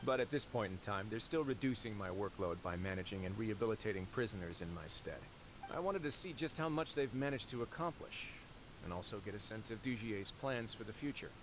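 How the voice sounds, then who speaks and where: An actor playing a composed, shrewd man of authority in a video game, speaking in a measured, formal way. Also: lowish voice, background noise, close to an everyday voice. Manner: measured, deliberate, formal wording. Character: composed, shrewd nobleman in authority, quietly calculating.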